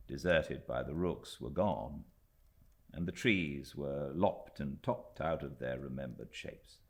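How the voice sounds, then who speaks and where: A British man reading a classic novel aloud as an audiobook narrator, measured and expressive, with deliberate pauses. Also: rumbling low. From Britain.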